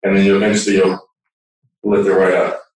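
Man, lowish voice, technical demonstrator, conversational, strongly echoing room, away from the mic. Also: completely flat delivery, fairly quick, a little mellow. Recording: echoey room, distant microphone.

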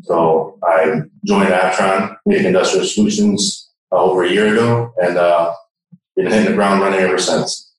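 A distant, heavily echoing recording of a man with a low voice. He speaks conversationally as a sales manager in an interview. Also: steady tone, normal speed. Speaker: regional sales manager, podcast guest. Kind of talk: brief conversational self-introduction. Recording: very echoey, distant microphone.

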